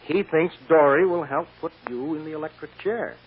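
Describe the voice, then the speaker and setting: An actor playing a firm, shrewd defense lawyer with a blunt, emphatic manner in an old-time radio drama. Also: male voice, on the high side, moderate pace, slightly rough. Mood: grave. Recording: muffled, hissy old radio recording.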